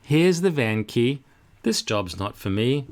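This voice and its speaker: A man's voice: mid-range voice, very animated, slow. A man voicing a modest, kindly male van driver in a children's picture book, earnest and obliging. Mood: downcast.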